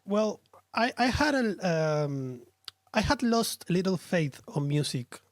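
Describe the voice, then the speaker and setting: Tired Argentinian man, hesitant and halting. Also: high voice, sing-song, dry recording, studio quality. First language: Spanish. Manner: hesitant, searching for words, many restarts. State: tired from little sleep. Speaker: guest, musician and streamer. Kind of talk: casual interview chat.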